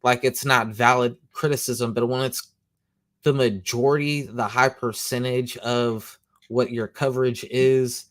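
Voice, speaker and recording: A man's voice: mid-range voice, steady tone, a little slow, no room sound. Man, casual slangy monologue, dry recording. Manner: slangy, rambling, lots of "you know what I'm saying"; says he has a loud voice. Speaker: comic book show host.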